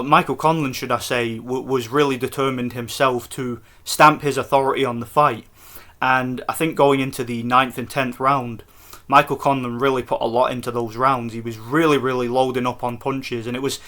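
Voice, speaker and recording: A man from Scotland giving a casual, excited post-fight review for his vlog, rambling with restarts. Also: mid-range voice, moderate pace. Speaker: boxing vlogger.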